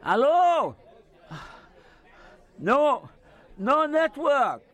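A man playing an excitable, kindly village man in an audio drama, speaking emphatically. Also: very high voice, drawn-out words, some chatter behind, heavily acted. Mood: straining to hear.

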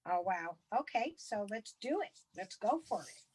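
A woman's voice: mid-range voice, sing-song, a little slow, darkish tone, slightly rough. A woman co-hosting a casual podcast, easygoing and agreeable, emphatic when giving advice. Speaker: podcast co-host.

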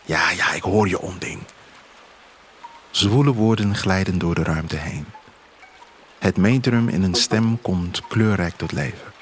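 A man narrating a literary first-person audio drama as its author, in an expressive, poetic storytelling manner. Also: sits low, unhurried, pauses often, a little hiss or hum.

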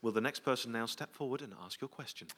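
A man's voice: medium pitch, fast. A man hosting a live comedy show in a mock-officious, formal manner, taking questions from the audience. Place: radio theatre stage before an audience. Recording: live theatre, loud audience, noisy.

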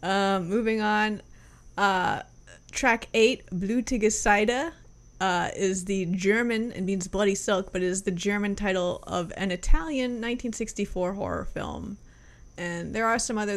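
Woman host, gravelly fry, casual music review, swears freely. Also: medium pitch, measured pace. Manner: relaxed, swears casually, many fillers.

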